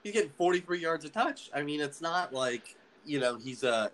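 A man's voice: on the high side, animated, normal speed, a bit bright. A young man hosting a student sports podcast, talking casually with many fillers and restarts. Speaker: podcast host.

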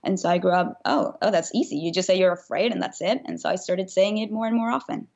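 A woman's voice: on the low side, fast talker, a little mellow. A woman, telling personal stories openly as a podcast guest. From Venezuela. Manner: open, storytelling, laughs easily. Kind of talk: casual interview. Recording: slightly dull lo-fi audio.

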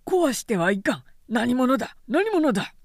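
A woman voicing a flustered, officious male gate guard in an audiobook, calling out with urgent, shrill shouts. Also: very animated, moderate pace, no echo, clean studio sound. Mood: alarmed.

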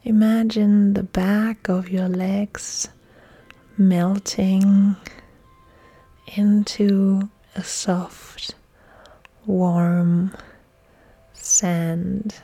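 A woman yoga instructor leading a guided relaxation meditation in a soft, breathy, soothing delivery with long pauses. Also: mid-range voice, little pitch movement. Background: soft music.

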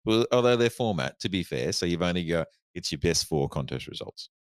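Very deep-voiced podcast host. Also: male voice, expressive, fairly quick, no room sound, clean studio sound. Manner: easygoing, reads out figures. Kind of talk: casual chat.

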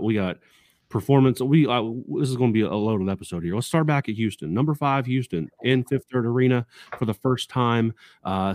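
A man co-hosting a casual sports podcast in an upbeat, excited way, recorded in a basement home studio. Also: lowish voice, dry recording. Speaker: podcast co-host.

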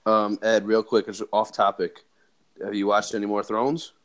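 A young man hosting a podcast, speaking briskly and joking with friends. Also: on the low side, little pitch movement, fairly bright tone. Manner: brisk and joking, swears casually. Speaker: podcast host. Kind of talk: casual chat.

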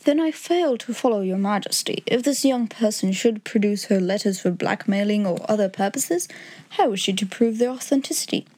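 A woman's voice: mid-range voice, animated, normal speed, a little mellow, lightly acted. A woman voicing a languid, incisive male detective in an audiobook story, with a dry, clipped, self-assured manner. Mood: brisk, musing.

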